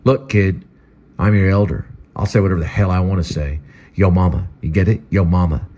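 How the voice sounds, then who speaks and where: A man's voice: low voice, steady tone, a little fast, dark tone. A man voicing a swaggering tough guy who talks in a brash, bossy way. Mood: bullying. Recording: slightly dull lo-fi audio.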